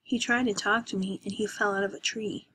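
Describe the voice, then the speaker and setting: A woman voicing a gentle, wistful middle-aged mother who speaks softly and tenderly. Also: mid-range voice, steady tone, brisk, slight background noise, close to an everyday voice, poor audio.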